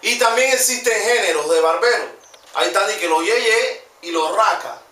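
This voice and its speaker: A man's voice: high voice, normal speed, sharp tone. A young man in his twenties with a Panamanian Spanish accent, hosting a joking, slangy comedy vlog from a small room. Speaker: vlog host. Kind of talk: casual comedic vlog narration. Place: small room with computer desk. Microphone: camera mic from a distance. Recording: echoey room, distant mic, faint noise.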